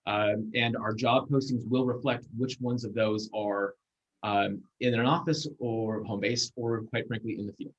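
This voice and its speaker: A man in his forties, a guest speaker, giving a measured, earnest talk over a video call, with fillers and restarts. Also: lowish voice, level tone, breathy edge. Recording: muffled video call audio.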